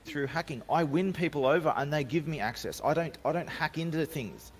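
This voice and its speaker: A man's voice: fairly high pitch, fairly quick, a little hiss or hum. A man with an Australian accent, talking casually as a guest on a remote call, rambling and self-assured with frequent restarts. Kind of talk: casual podcast storytelling. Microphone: home microphone, remote call.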